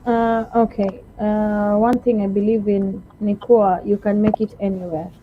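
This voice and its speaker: Flat-voiced woman on a tinny line. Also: mid-range voice, moderate pace, background noise. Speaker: guest. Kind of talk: casual interview answers. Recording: tinny phone-like remote call.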